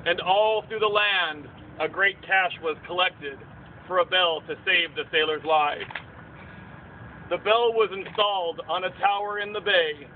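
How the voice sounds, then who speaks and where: A man playing a storybook bard, narrating a puppet show in measured, declamatory verse. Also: high voice. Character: storybook bard narrator telling a seaside legend. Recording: tinny outdoor recording, faint noise.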